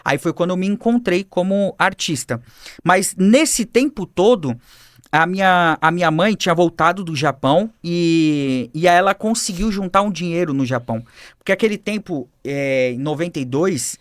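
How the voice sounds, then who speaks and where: A man telling his life story as a podcast guest, animated and acting out the people he quotes, on a studio mic. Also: sits high, normal speed, no room sound. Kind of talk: personal storytelling in a podcast interview.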